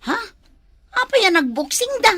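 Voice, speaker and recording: A woman's voice: very high voice, sing-song, moderate pace, sharp tone, no echo, heavily acted. A woman playing a chatty, concerned village housewife in a comedy drama, with a Filipino accent. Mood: concerned, curious.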